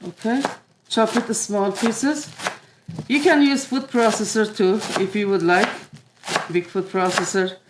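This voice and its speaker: A woman from Iran demonstrating a family recipe in her kitchen, explaining each step with frequent pauses and filler sounds. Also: mid-range voice, background noise. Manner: unhurried, many filler "uh"s. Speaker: home cook. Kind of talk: cooking demonstration. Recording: echoey room.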